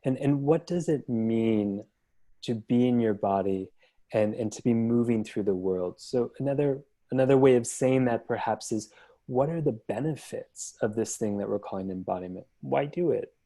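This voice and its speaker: A man's voice: mid-range voice, normal speed, warm tone. A man moderating an online panel discussion, talking in a thoughtful, searching way with frequent restarts, over a video call.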